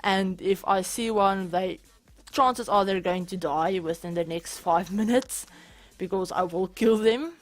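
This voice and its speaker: Young woman, South African accent, chatty, restarts often, mid-pitched voice. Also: unhurried. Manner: chatty, with restarts and small laughs. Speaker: gaming YouTuber. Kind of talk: casual Q&A over a speed build.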